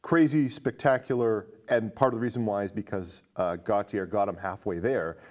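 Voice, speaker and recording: A man in his thirties hosts a casual movie discussion in an indoor studio. His voice sits fairly low, and he talks at length with enthusiasm. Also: normal speed, darkish tone. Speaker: host of a film discussion show. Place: indoor studio stage with curtain.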